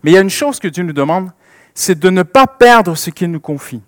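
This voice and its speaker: French Canadian preacher, higher-pitched man's voice. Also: lively intonation, fairly quick, no room sound, clean studio sound. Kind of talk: sermon with personal storytelling.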